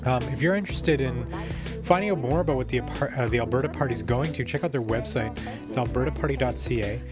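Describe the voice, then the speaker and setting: A man with a Canadian accent giving a reflective, measured, conversational report as a podcast host. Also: medium pitch. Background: guitar music under the voice.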